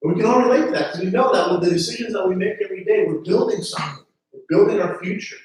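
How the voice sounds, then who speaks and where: High-voiced preacher in an echoey hall. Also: male voice, very fast. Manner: earnest, repeats words when starting phrases. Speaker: pastor. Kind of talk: sermon. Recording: very echoey room from a distance.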